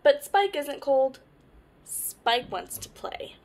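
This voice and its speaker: Slow, very animated young woman reading to children. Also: sits high, noisy background. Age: young adult. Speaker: storytime host. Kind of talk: children's picture book read-aloud.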